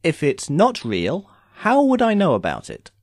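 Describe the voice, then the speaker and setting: A man's voice: on the high side, wildly swooping pitch, a little slow, no room sound, heavily acted. A man playing an urbane immortal sorcerer in an audio drama, speaking formally with dry, sardonic impatience. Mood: smug, sardonic. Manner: wry, formal, theatrical phrasing.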